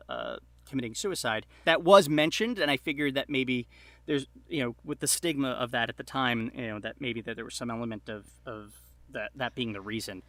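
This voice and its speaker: Bright-voiced man chatting, halting delivery. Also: mid-range voice, no echo. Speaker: podcast guest. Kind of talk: casual chat, recounting film trivia.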